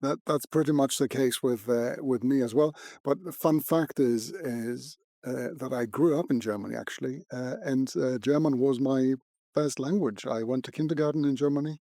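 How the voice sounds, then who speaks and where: A man's voice: medium pitch, normal speed, mellow, dry recording, studio quality. A man, hosting a podcast interview and speaking hesitantly with many fillers. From Greece. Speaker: podcast host. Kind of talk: conversational interview.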